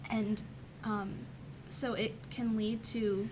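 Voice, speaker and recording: A young woman student on a discussion panel, thinking aloud tentatively with pauses. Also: little pitch movement. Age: teens to young adult. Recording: clear background noise and rumble.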